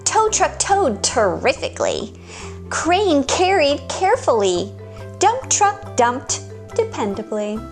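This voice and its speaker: A woman with a fairly high, very animated voice reads a picture book aloud for small children. She hosts story time at an unhurried pace, telling it expressively, with music in the recording.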